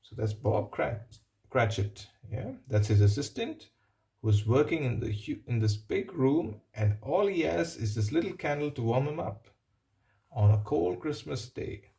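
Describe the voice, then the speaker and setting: A man reading a picture book aloud, pausing for simple explanatory asides and checking in with the listener. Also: a little high, animated, creaky, dark tone. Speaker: storybook reader.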